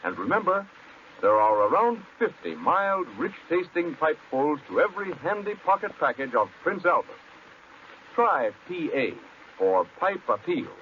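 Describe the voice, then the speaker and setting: A man's voice: on the high side, very animated, a little slow. An American man reading a 1940s radio advertisement, addressing the listener in a folksy, direct way. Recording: muffled, slightly noisy 1940s radio recording.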